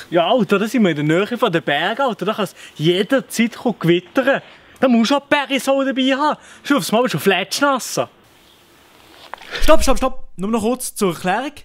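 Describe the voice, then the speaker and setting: Lively young man with a Sensler accent. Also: high voice. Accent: Sensler Swiss German. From Canton of Fribourg, Switzerland. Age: young adult. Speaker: YouTuber. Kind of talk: casual dialect explainer. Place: at home. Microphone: camera.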